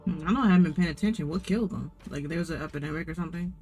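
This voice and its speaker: Rich: A male streamer chats quickly and loosely while a game plays. His voice sits slightly high, and his manner is rambling. Game music runs faintly beneath him. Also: a little mellow. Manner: chatty and rambling. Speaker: gaming streamer. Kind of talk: casual commentary over gameplay.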